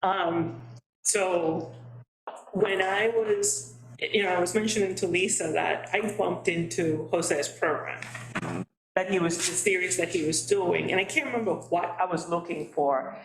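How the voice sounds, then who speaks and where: A woman on a library committee who tells anecdotes in an animated way and acts out her own earlier reactions. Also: lowish voice, unhurried, echoey room, crowd noise, rough recording. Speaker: committee member. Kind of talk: committee meeting discussion.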